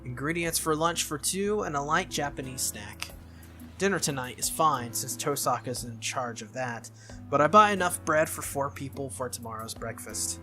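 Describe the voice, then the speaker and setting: A game playthrough host reading a visual novel aloud in an expressive way, with casual reactions. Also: medium pitch, normal speed. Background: game music.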